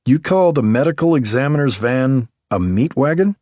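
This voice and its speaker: A man's voice: medium pitch, animated, moderate pace, a little mellow, dry recording, clean studio sound, voice pushed up, lightly acted. A man voicing an earnest young male police officer who asks polite, curious questions. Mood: puzzled.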